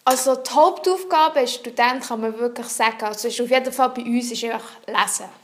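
Young female student, high voice, French; earnest and explanatory, searching for words in an interview. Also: fairly quick. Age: young adult. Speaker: psychology student. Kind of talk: answering interview questions.

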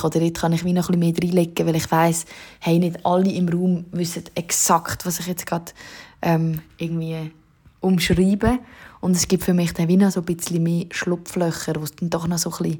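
Swiss German singer, young woman, low mellow husky voice, chatty and loose with fillers. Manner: casual, many fillers and "wie". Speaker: singer-songwriter. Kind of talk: interview answers.

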